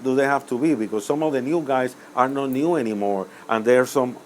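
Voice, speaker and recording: An older man from Cuba, a musician answering interview questions in a rambling, hesitant way with many pauses and self-corrections. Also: medium pitch, darkish tone, slight background noise. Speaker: musician guest.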